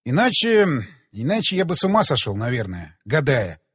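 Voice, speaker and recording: A man reading as a wry adventurer, animated pitch. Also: moderate pace, slightly rough, dry recording, clean studio sound. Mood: earnest. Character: wry, devoted male adventurer talking to his lover.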